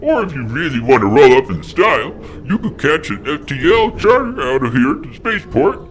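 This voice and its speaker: A man's voice: mid-range voice, very animated, normal speed, a bit bright, slight background noise. A man voicing a brusque, officious alien police sergeant in a comedy audio drama. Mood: dismissive. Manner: officious, curt.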